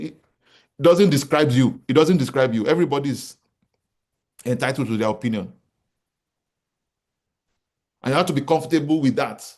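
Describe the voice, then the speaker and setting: A man's voice: medium pitch, a little mellow. Emphatic Nigerian man giving a business talk. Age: 40s. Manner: emphatic, repeats key points, asks the audience rhetorical questions. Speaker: real estate company founder speaking to an audience.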